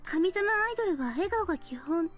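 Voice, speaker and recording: A woman's voice: very high-pitched, expressive, very fast, faint music behind. A woman voicing a reserved young idol girl in a visual novel, polite and a little unsure. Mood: puzzled. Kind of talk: Japanese visual novel voice acting.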